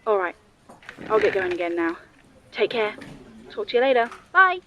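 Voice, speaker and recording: A woman's voice: mid-range voice, sing-song, moderate pace, a bit bright, lo-fi sound, faint music behind. A woman acting as an anxious, secretive informant in an audio roleplay, nervous and earnest as if on a call. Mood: nervous, urgent.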